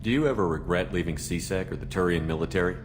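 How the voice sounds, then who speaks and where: Quick, low-voiced military commander, played by a man. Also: close to an everyday voice. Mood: calm, curious. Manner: plain, businesslike questioning. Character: steady, direct male human military commander. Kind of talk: video game dialogue. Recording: loud background noise, game ambience.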